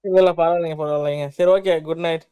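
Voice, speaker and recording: A man's voice: on the high side, fairly even intonation, racing, dark tone, clear, resonant tone, no echo. A man casually reassuring a speaker and saying goodbye on a video call.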